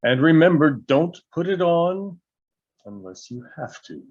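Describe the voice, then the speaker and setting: A man playing a grave, commanding old wizard with a portentous, deliberate delivery in a fantasy script read. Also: fairly high pitch, big pitch swings, a little mellow, dull audio, exaggerated voice. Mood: urgent, commanding.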